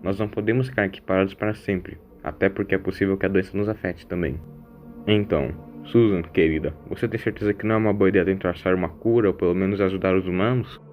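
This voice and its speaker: A man's voice: low voice, steady tone, fast, lo-fi sound, faint music behind, close to an everyday voice. A man playing a fond, troubled grandfatherly time traveller in an audio drama, anxious to help. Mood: pleading.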